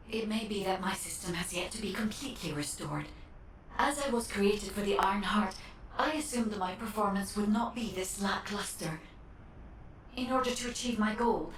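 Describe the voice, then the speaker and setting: A woman's voice: medium pitch, lightly acted. An earnest female machine intelligence from a video game, voiced by a woman speaks in careful, formal sentences. The sound is roomy and distant, with some faint noise behind it. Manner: formal, measured, precise diction. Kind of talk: scripted video game dialogue.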